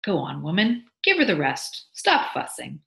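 A woman's voice: low-pitched, huge pitch swings, unhurried, creaky, bright, forceful tone, full character voice. A woman voicing a blustering, cheerful and stubborn husband who exclaims, coaxes and turns indignant in a storybook. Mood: coaxing. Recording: roomy echo.

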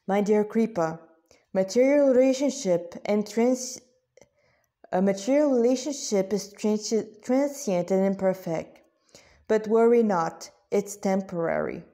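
Woman voicing a calm, mellow god. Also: medium pitch, unhurried, natural voice. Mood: soothing. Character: calm, consoling male god speaking within the heart.

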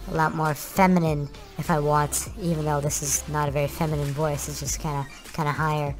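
A man speaking through a voice changer that nudges his voice higher to sound more feminine. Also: level tone, a little fast, over music, low-quality recording. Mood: casual demonstration. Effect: voice changer pitched slightly up.

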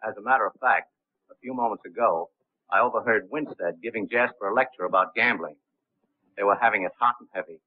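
Low-voiced, quick 1930s film gentleman, acted. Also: no room sound, lightly acted. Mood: matter-of-fact. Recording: tinny 1930s film soundtrack.

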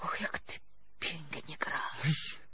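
An actress playing a theatrical, seductive and mystical woman musicologist with a Russian accent, gushing in breathy exclamations in a radio drama. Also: very slow, bright, forceful tone, whispered. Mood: hushed, wistful admiration. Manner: effusive, breathy exclamations, broken foreign grammar.

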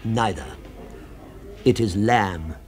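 An actor playing a fervent, food-obsessed gentleman in a 1970s British radio drama, with precise, florid, emphatic speech. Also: on the low side, slow pace, soft background music. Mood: fervent, reverent.

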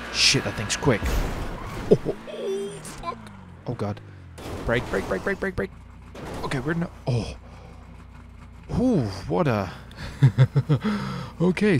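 A man commentating casually on his gameplay as a streamer, with slangy, sweary talk. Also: medium pitch. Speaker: streamer hosting a gaming video.

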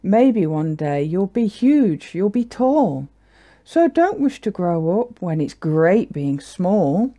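Storybook mother yak, kindly, mellow, animated, drawing words out; a woman performs her. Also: on the low side, takes it slowly, heavily acted. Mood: reassuring. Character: motherly adult female yak, kindly and encouraging. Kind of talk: children's picture-book character voice.

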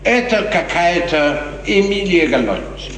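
An actor playing a sly, sneering, obsequious court chamberlain with insinuating theatrical delivery in a 1950s Soviet radio play. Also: male voice, high-pitched, expressive, unhurried, background noise. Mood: deferential. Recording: distant, reverberant 1950s radio recording.

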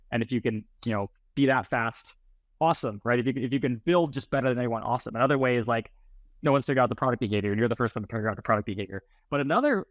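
A middle-pitched man of about forty talks as an interview guest. He explains in a conversational way, and the recording is dry and free of room echo. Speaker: startup founder, interview guest. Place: indoors on a video call, plain room.

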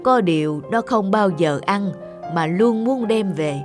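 A woman playing a kindly, compassionate elderly grandmother in an animated tale, gentle and caring. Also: mid-range voice, measured pace, music in the background, close to an everyday voice. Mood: gentle, explaining. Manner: gentle, caring, musing aloud. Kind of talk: animated moral tale dubbing.

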